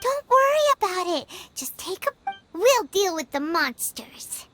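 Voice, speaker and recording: A woman voicing a tiny, helpful, curious fairy companion with a squeaky childlike voice in a video game. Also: sing-song, measured pace, over music, heavily acted. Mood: caring.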